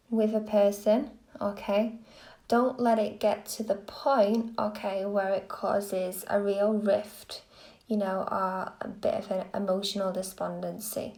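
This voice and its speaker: A woman reading tarot on a live stream, gentle and soothing, a little croaky from a cold. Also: mid-range voice, poor audio. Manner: gentle, soothing, lots of okays. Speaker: tarot reader.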